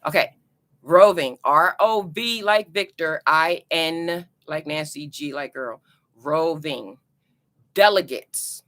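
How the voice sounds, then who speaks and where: Jersey lady vlogging, chatty and repetitive, kinda bright crisp tone. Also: lowish voice. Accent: New Jersey. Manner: chatty, loose and repetitive, many "you know" asides. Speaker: astrology vlogger. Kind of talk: casual teaching talk to camera.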